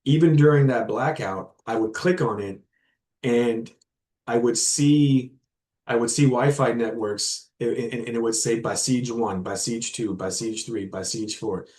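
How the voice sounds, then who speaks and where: A man's voice: mid-range voice, unhurried, darkish tone. A man giving an informal guest lecture to university students. He talks conversationally, with frequent fillers and repeated words, and stops to explain terms plainly.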